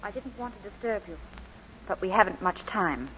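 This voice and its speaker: An actress playing a troubled but dutiful baronet's wife in a 1940s radio drama, restrained and earnest. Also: female voice, fairly low pitch, moderate pace, bright, forceful tone. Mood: pained, resolute. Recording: muffled, noisy, reverberant 1940s radio recording.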